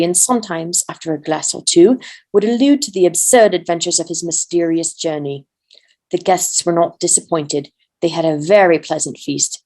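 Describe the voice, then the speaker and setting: A woman's voice: lowish voice, darkish tone. Woman reading a fantasy story aloud. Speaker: volunteer reader.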